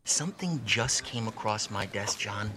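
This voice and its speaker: Slick male stock pitchman, low-voiced and pushy, in a hyped sales patter. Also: lively intonation, a little slow, sharp tone, slight background noise. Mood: hard-selling. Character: hard-selling male penny-stock pitchman on a call. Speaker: stock salesman in a film clip.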